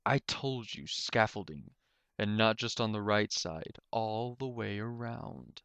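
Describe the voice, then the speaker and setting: An easygoing male pegasus from a pony storybook, voiced by a man reading aloud. He sounds casual and teasing, with a smooth, fairly low voice close to the mic and no room echo. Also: animated, takes it slowly, fairly bright tone, exaggerated voice. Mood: mock annoyed. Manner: casual, lightly amused.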